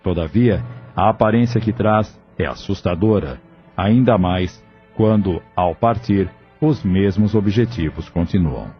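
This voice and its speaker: A man's voice: low voice, measured pace, lo-fi sound. A Brazilian man reading scripted narration for an audio drama. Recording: band-limited recording with music bed. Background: music.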